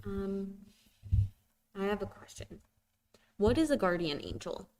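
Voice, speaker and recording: A woman's voice: mid-range voice, expressive, unhurried, a little mellow, rough recording. A woman co-hosting a live question-and-answer chat, reading out audience questions in an informal, somewhat hesitant way.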